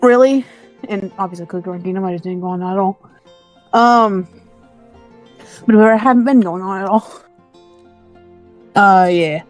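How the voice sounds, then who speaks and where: A woman's voice: mid-range voice, moderate pace, pauses often, poor audio. A woman chatting casually with a friend during a video game. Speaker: friend watching along. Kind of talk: casual gaming chat. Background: video game music.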